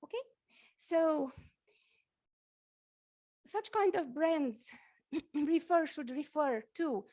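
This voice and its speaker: A woman from Romania, giving a conference talk with slides, halting often with fillers and restarts. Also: high voice, animated, mellow. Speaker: conference speaker. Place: conference hall.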